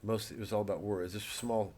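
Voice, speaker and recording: Man, comedian, rambling talk to camera, stammering restarts, swears, lowish voice. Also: fairly even intonation, quick pace, a little mellow.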